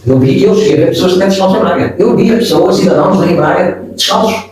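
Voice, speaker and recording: A man's voice: high voice, quick pace, a crowd in the background. A man, reflecting as an interview guest and often restarting his sentences. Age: 70s. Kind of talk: casual interview talk. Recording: echoey room, distant mic.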